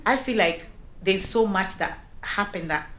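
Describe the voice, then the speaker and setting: A woman in her forties speaks candidly about her upbringing, animated and quick to laugh. Her voice sits mid-range for a woman. The room is echoey, with a faint hum of noise behind her. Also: mid-range voice. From Kenya. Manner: animated and frank, laughing as she talks. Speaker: interviewee. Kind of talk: candid personal storytelling.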